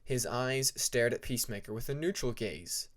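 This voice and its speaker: A man narrating scripted fiction as an audiobook reader, deliberate and with dramatic pauses. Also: mid-range voice, animated, fairly bright tone.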